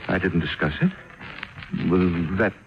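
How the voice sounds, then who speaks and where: A man's voice: sits low, unhurried. An actor playing a flustered, evasive male airline official, stammering and defensive, in an old-time radio drama. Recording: dull lo-fi old radio recording.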